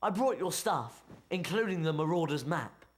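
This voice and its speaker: An actor playing an excitable young schoolboy wizard in a video game. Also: medium pitch, moderate pace, heavy background noise, natural voice. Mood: eager. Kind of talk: video game cutscene acting.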